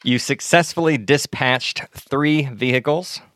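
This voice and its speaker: A man hosting a tabletop game podcast as dungeon master, chatting easily and slowing into measured narration. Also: medium pitch, fairly bright tone, no room sound, studio quality. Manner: easygoing, measured, slows down when narrating. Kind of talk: casual chat and game narration.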